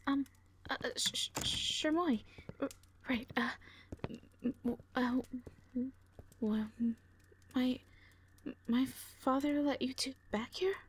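A woman's voice: high voice, slight background noise. A woman playing a shy, anxious young owl creature with a heavy stutter, in an audio roleplay drama. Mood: startled, nervous.

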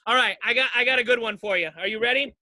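A man's voice: very high voice, rapid-fire, bright, forceful tone, dry recording. A man in his thirties chatting casually and playfully as a podcast host on a video call. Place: home basement.

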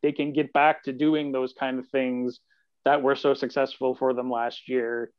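A man's voice: medium pitch, little pitch movement, moderate pace, clean tone, no room sound. A man giving conversational sports analysis as a guest, on lo-fi video-call audio. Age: 40s. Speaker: sports columnist, podcast guest. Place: room at home on video call.

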